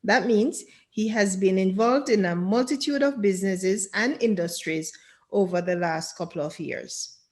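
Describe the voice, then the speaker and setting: A woman's voice: medium pitch, a little mellow. A woman hosting a podcast, reading a scripted intro and then welcoming and questioning her guest. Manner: slow, deliberate reading, enthusiastic welcomes. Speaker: podcast host.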